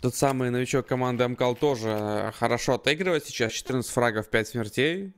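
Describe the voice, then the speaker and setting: A man's voice: mid-range voice, a little fast, a little hiss or hum. A man co-commentating a live esports match, conversational and analytical, without a script. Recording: faint gunfire and clicks behind. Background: game gunfire, clicks.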